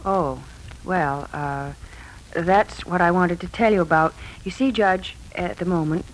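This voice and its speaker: A woman's voice: lowish voice, measured pace, a little hiss or hum, lightly acted, low-quality recording. An actress playing an anxious, tender young wife and mother in a 1940s radio drama. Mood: nervous. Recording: old 1940s radio recording with music.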